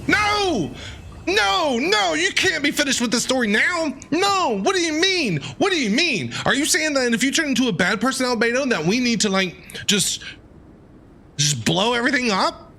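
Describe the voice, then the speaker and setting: A male game streamer gives casual, exclamatory reactions. His voice is bright and forceful, with pitch that jumps widely as he comments. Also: moderate pace, vocal fry, slight background noise. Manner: animated, exclamatory.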